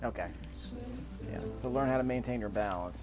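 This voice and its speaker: A man's voice: mid-range voice, a little fast, music in the background. A man giving casual commentary over video gameplay, with an offhand, dryly skeptical way of talking.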